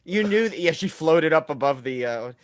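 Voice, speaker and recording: A man's voice: fairly high pitch, animated, normal speed, no echo. A man hosting a casual film chat, rambling and often trailing off mid-thought.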